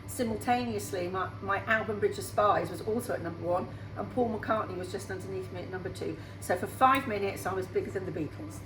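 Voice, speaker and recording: A woman in her sixties, a singer being interviewed on radio, who talks in a chatty, upbeat way. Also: medium pitch. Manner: chatty and upbeat, laughs easily. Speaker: singer guest. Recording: roomy sound with faint background hum.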